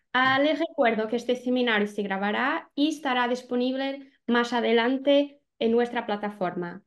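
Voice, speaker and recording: A woman in her twenties co-hosting a webinar over a video call, reading a formal introduction at a steady pace. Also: fairly high pitch. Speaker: webinar co-host. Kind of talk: scripted introduction.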